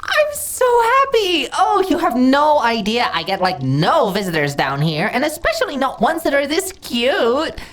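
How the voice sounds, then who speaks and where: Slime monster girl, female actor: lonely, hungry, easily flustered; very animated pitch, slow delivery, fantasy audio drama. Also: exaggerated voice. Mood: giddy, gushing. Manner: theatrical, giggly, stammering when flustered. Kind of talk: fantasy audio roleplay addressed to the listener.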